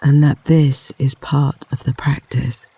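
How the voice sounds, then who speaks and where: A man leading a guided yoga nidra meditation in a gentle, soothing, unhurried manner with long pauses between phrases. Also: medium pitch, flat delivery, vocal fry, slightly rough, dry recording. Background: flowing river water sounds.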